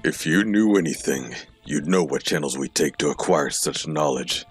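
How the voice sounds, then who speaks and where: A man voicing a stern, contemptuous, towering dark-knight general in a fantasy audiobook, with a heavy, commanding menace. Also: extremely low pitch, expressive, normal speed, husky, faint music behind, full character voice. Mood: cold anger.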